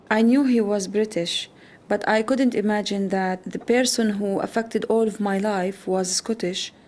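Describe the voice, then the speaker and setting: A woman in her forties from Lebanon, narrating a documentary in a measured, plain-spoken way. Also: medium pitch, a little mellow.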